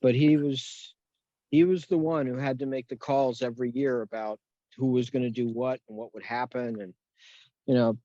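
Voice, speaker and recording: A man's voice: medium pitch, dark tone, no room sound. A man reminiscing casually and in a rambling way on a group video call. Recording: dull, dry video-call audio.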